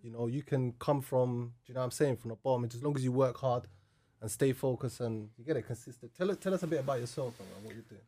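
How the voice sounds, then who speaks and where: A man with a London accent hosting a podcast in casual slangy chat, supportive and quick to agree. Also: mid-range voice, mellow. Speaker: podcast host.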